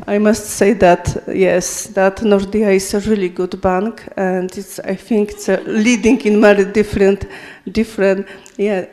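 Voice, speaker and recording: Polish-accented woman, mellow halting voice. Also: mid-range voice, level tone. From Poland. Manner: halting, searching for words. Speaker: participant and interpreter. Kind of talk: casual group introductions.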